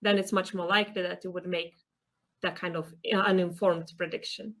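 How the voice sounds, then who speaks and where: A woman's voice: fairly low pitch, fairly even intonation, moderate pace, a bit bright. Young woman explaining her research. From Lithuania. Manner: earnest, explanatory, some hesitations. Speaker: PhD student guest.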